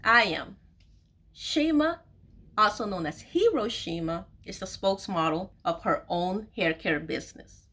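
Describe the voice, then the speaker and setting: A woman's voice: mid-range voice, expressive, a little slow, fairly bright tone. A woman in her forties tells a personal story to her viewers in a candid, confiding way, repeating phrases for stress. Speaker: host of an online video series, author telling her own story.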